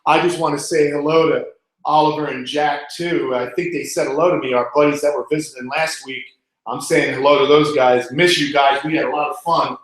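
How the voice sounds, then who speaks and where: A man's voice: on the high side, fairly even intonation, brisk, a bit bright. An American man from New York hosting an animal live stream, chatting informally and answering questions in a casual way. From Long Island, New York, United States. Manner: informal, chatty, calls people man and bud. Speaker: animal channel host. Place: indoor room. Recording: very echoey, reverberant room.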